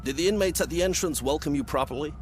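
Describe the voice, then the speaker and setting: A man's voice: a little high, fast talker, a little hiss or hum, natural voice. A man playing a wry, embattled prison warden in a video game, with a familiar, grumbling and emphatic way of talking. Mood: wry, welcoming.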